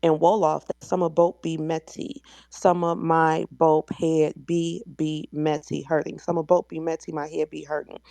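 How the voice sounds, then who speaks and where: A woman's voice: sits low, flat delivery, moderate pace, creaky, hardly any pauses, dark tone, no echo. A woman with an American accent speaking as a guest in an online discussion, explaining things in an assertive, teacherly way.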